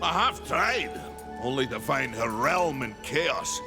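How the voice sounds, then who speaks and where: A man playing a proud, stern old warrior in a fantasy video game, gravelly, with slow, weighty, archaic speech. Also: mid-range voice, sing-song, music in the background, exaggerated voice. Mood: grave, brooding. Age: older. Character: proud old male warrior maker, stern, battle-worn. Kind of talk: video game cutscene acting.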